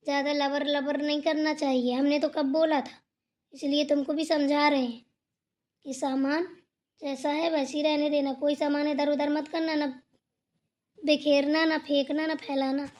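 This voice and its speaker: A woman's voice: sits high, flat delivery, normal speed, a little mellow, lightly acted. A woman voicing a sensible elder sister-in-law who lectures and admonishes firmly in a family cartoon. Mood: cautioning. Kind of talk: cartoon family drama dialogue.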